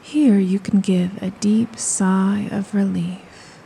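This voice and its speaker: A woman with a very dark, mellow voice leads a slow guided meditation. She speaks softly and breathily, close to a whisper, with a gravelly creak at the edges. Her phrases are soothing and spaced by long pauses, over faint ocean waves. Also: medium pitch, steady tone, slight background noise. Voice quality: soft, breathy, often near a whisper.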